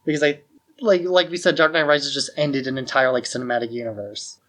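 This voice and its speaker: A man's voice: medium pitch, fairly even intonation, brisk, fairly bright tone. A man co-hosting a podcast, giving opinions in casual, conversational film discussion with "like" sprinkled through his sentences. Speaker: podcast co-host.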